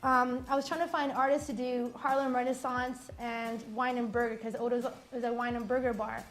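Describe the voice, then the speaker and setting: High-voiced woman host. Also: little pitch movement, normal speed, faint music behind. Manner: enthusiastic, admiring. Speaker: interview host. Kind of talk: casual interview.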